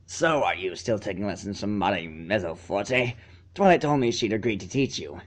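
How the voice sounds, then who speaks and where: A man's voice: lowish voice, lively intonation, moderate pace, pitched below the performer's own voice. A man voicing a friendly, slightly nervous young male dragon in a storybook reading, mild and good-natured. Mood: friendly curiosity.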